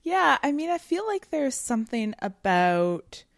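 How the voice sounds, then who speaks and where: A woman's voice: sits high, big pitch swings, measured pace, creaky, dry recording, studio quality. A woman co-hosting a podcast, talking through ideas in a casual, analytical, informal way. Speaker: podcast co-host. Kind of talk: casual conversational literary discussion.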